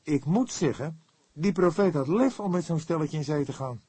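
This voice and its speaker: A man voicing an impetuous, hot-headed young detective in an audiobook, excitable and indignant. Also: a little high, moderate pace, slightly rough, no echo, voice pushed up. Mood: eager, businesslike. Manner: loud, excitable, heated delivery.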